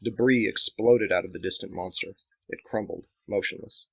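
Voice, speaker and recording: A man's voice: low voice, moderate pace, gravelly. A man reading his own dramatic action novel as scripted audiobook narration, in a slightly dull recording.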